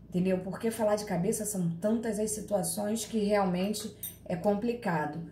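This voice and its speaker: A woman from Rio de Janeiro with a Carioca accent, giving a law class online and chatting with her students in a lively, teasing way. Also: mid-range voice, a little hiss or hum. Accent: Carioca Portuguese. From Rio de Janeiro, Brazil. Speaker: law lecturer. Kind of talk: live online class, reading chat questions. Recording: echoey room with faint ambience.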